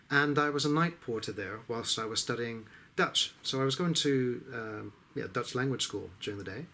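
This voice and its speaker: A middle-aged man recalls his life story in a thoughtful, unhurried way. He often pauses and hesitates between phrases. His voice sits in a medium register. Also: mid-range voice, a bit bright, a little hiss or hum. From England, Exeter. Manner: reflective, frequent hesitations. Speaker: business coach. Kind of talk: personal life story told to camera.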